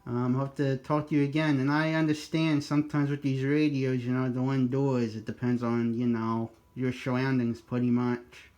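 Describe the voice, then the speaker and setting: A man's voice: mid-range voice, flat delivery, normal speed, few pauses, darkish tone. A man talking informally in a casual two-way radio chat, chatty and sprinkled with operator jargon. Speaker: amateur radio operator making a video.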